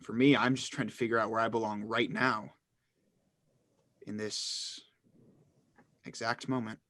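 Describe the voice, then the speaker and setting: A man's voice: on the low side, flat delivery, a bit bright. A man playing a brooding, introspective teenage brother in a dramatic horror play reading. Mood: wistful. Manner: reflective, measured. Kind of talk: dramatic monologue in script reading.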